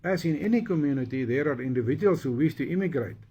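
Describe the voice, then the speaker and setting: A man from South Africa speaks firmly and deliberately in a husky voice. He sits somewhat away from the microphone. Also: fairly high pitch, lively intonation, warm tone. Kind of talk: interview statement.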